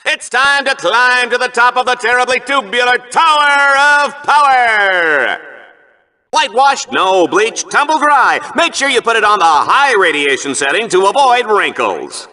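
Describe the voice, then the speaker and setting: An actor playing a brash, shallow sci-fi game show announcer, theatrical and smug, swinging between hyped commentary and chatty asides. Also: male voice, very high-pitched, animated, measured pace, bright, forceful tone, full character voice. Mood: showy, hyped.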